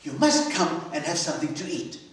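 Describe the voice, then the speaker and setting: A man's voice: on the high side, very animated, normal speed, voice pushed up. A man voicing a hospitable, coaxing woman welcoming people in a live story reading. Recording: echoey hall, distant microphone.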